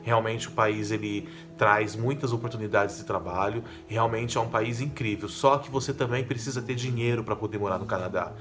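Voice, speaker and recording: A man's voice: lowish voice, fairly even intonation, normal speed, few pauses. A man from São Paulo with a paulistano accent, chatting casually to his viewers as a vlogger and often checking in with tag questions. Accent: São Paulo Brazilian Portuguese. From São Paulo, Brazil. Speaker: vlogger on living in Portugal. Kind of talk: casual talk to camera. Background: soft guitar music.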